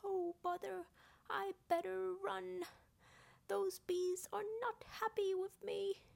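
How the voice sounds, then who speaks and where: A woman's voice: very high-pitched, level tone, slow, vocal fry, pitched above the performer's own voice, full character voice. A woman voicing a bumbling, mild male teddy bear in a bedtime story, with a flustered manner.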